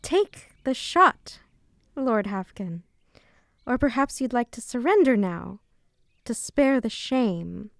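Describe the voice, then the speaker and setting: A woman's voice: fairly high pitch, lively intonation, slow pace, creaky, dry recording, clean studio sound, full character voice. A woman playing a taunting, confident fairy in an audio drama, with projected, mocking delivery.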